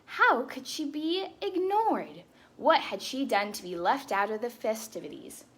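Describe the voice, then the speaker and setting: A woman reading a story aloud to children as a storytime host, expressive and breaking into chatty asides. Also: on the high side, a little slow, husky. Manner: animated, with chatty asides to young listeners.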